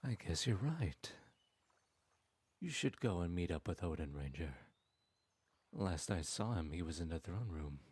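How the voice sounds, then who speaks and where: A man voicing a sly, smooth-talking male trickster god in an audio roleplay, speaking in a silky, teasing and persuasive way. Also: low voice, animated, a little slow, long pauses, a little mellow, dry recording, heavily acted. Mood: scheming.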